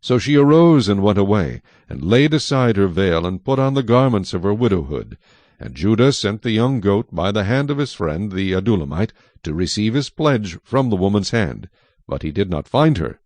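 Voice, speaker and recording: Low male narrator doing dramatized bible reading, very expressive, dry close sound. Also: normal speed, no room sound. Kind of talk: dramatized scripted scripture narration.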